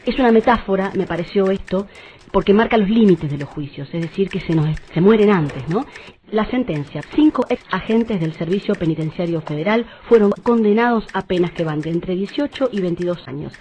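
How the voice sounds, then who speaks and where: A woman's voice: mid-range voice, animated, brisk, darkish tone, highs cut off, slight background noise. A woman presenting an explanatory report in a didactic way, posing questions and answering them. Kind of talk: explanatory report on a human rights case.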